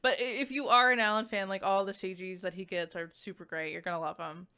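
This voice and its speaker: A woman giving a casual, conversational review to viewers, animated and peppered with "like" asides. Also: mid-range voice, quick pace, smooth. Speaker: visual novel reviewer.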